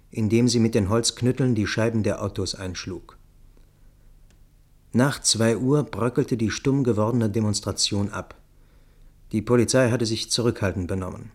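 A storyteller in a radio play, with a fairly low voice. He recounts events in sober, measured literary prose, staying matter-of-fact throughout. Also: husky. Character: sober male first-person narrator recounting a tense night. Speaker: narrator.

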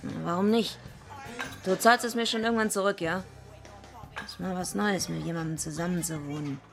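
An actress playing a blunt, defiant, streetwise young female wrestler with a husky, gravelly voice in a radio drama. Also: on the low side, fairly even intonation, moderate pace, a bit bright, soft background music. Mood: gruffly kind. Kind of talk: radio drama acting and first-person narration.

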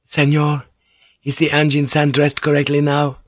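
A man's voice: on the high side, completely flat delivery, normal speed, no room sound, clean studio sound, voice pushed up, close to an everyday voice. A man reading an audiobook, voicing a composed young noblewoman in a polite, formal, restrained manner. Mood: quiet, formal. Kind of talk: audiobook character voice.

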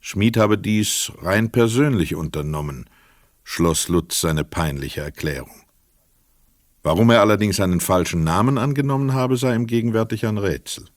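Deep male narrator, very dry sound. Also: moderate pace, no echo. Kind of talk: scripted literary narration in a radio play.

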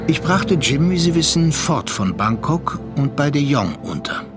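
Measured seafaring storyteller over music. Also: male voice, fairly high pitch, very animated. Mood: reflective. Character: seasoned male seafarer recounting a troubled younger friend's story. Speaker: narrator. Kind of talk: scripted literary radio play narration. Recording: studio radio play with music underneath.

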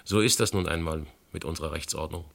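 An actor playing a shrewd, methodical police inspector in a German radio crime drama, reasoning insistently with a gravelly, husky voice. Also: male voice, rumbling low, heavily acted. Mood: resigned, deliberate. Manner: deliberate, insistent, reasoning point by point.

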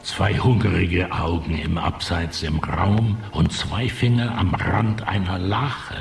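A brooding male narrator in a poetic radio drama. His low voice is full of creak and he places each fragment deliberately. The sound is roomy, with music underneath. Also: fairly even intonation, heavily acted. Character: brooding male narrator of lonely pub drinking. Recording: roomy echo, music behind.

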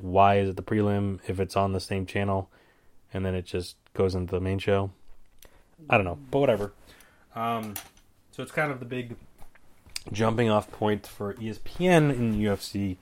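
A man's voice: on the low side, darkish tone. A man hosting a casual talk show, rambling with many fillers and wry, offhand asides. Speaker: podcast host. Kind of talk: casual fight-prediction chat.

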